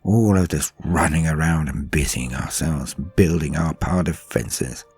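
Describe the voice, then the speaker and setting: A man narrating a scripted story in a solemn, theatrical manner, with deliberate dramatic pauses. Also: extremely low pitch, a little mellow, husky. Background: faint music.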